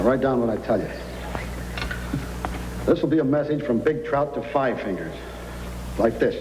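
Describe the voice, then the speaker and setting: A man acting a sly, ruthless frontier gang boss in a mid-pitched voice. He gives orders in the manner of an old radio serial villain. The recording is full of noise, with a long reverb. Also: darkish tone, close to an everyday voice. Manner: bossy, sly.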